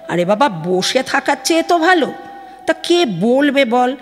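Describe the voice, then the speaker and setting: A woman playing an aggrieved, gossipy middle-aged village mother from West Bengal on the phone in an audio drama, chatty and complaining, with a Bengali accent. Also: sits high. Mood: weary, complaining. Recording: long studio reverb, music behind.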